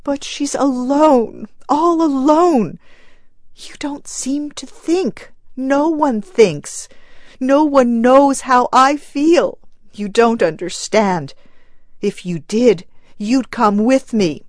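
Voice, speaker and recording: A woman's voice: high voice, slow, no room sound, clean studio sound, pitched above the performer's own voice. A woman voicing a distraught grieving mother. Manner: choked, pleading, tearful. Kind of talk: audiobook character reading.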